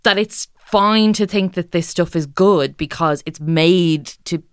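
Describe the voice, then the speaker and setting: Woman guest, chatty and giggly, low smooth voice, dry close sound. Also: measured pace, no room sound. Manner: chatty, laughing often, lots of likes and restarts. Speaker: podcast guest. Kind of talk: casual chat.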